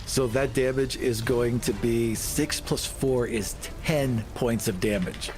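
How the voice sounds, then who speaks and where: Man, medium pitch, game player, casual first-person narration, music underneath. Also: slow.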